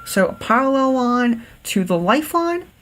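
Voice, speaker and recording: English woman w a bit of liverpool accent doing a palm reading stream, careful clear phone voice, lots of ums. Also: fairly high pitch, very animated, normal speed, fairly bright tone, a little hiss or hum. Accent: Liverpool, slight. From England. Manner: careful clear telephone voice, many ums and restarts. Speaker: psychic livestream host.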